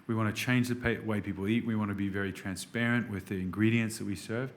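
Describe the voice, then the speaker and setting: Australian man, 30s, guest on a business podcast, low-pitched, excitable and rambling. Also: quick pace. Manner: enthusiastic, chatty, many restarts and fillers. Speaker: podcast guest, restaurant operator. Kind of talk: casual interview chat.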